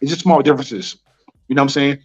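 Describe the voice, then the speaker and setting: A man's voice: mid-range voice, steady tone, fast talker. A young American man being interviewed, talking in a casual, slangy way and repeating himself often. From United States. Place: Nairobi. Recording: dull lo-fi sound with faint music.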